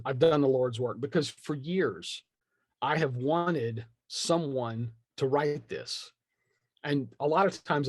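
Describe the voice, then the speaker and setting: A man's voice: medium pitch, dry recording, studio quality. A man being interviewed as a game writer, talking casually and acting out imagined remarks with wry, self-deprecating humour. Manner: animated storyteller, acts out imagined remarks. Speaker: guest, tabletop game writer.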